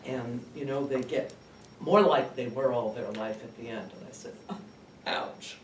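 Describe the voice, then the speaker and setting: A man's voice: mid-range voice, normal speed, close to an everyday voice. A man playing a bitter, twice-divorced woman in a comic stage monologue, with a dry, complaining manner. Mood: wry, resigned. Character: aggrieved twice-divorced woman named Pat, bitter about her mother. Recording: distant, roomy, noisy live recording.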